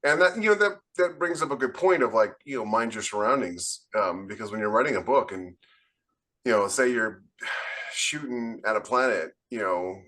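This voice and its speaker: A man co-hosting a podcast in casual panel chat, telling things in an animated, rambling way. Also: medium pitch, normal speed. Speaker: podcast co-host, military science fiction author.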